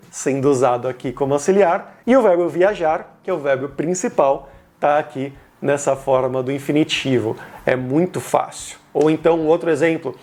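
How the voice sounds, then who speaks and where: A man with a Brazilian accent speaks in a somewhat high-pitched voice. He teaches in an informal, explanatory way, like a video host walking learners through a lesson, and leans on key words for emphasis. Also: a little mellow. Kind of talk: informal grammar lesson to camera.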